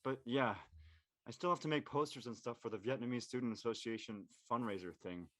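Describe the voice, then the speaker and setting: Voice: medium pitch, brisk, fairly bright tone, lightly acted. An actor playing a brash, slangy, easily exasperated teenage basketball player in a scripted drama. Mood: exasperated, teasing. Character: cocky teenage boy high school basketball player.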